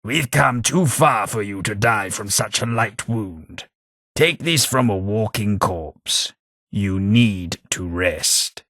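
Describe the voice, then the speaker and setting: A man's voice: fairly low pitch, expressive, takes it slowly, fairly bright tone, dry recording, clean studio sound, exaggerated voice. An actor with an English accent playing a polite, dryly witty undead male clerk in a game, in refined speech. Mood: weary. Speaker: companion character. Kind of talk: game voice lines.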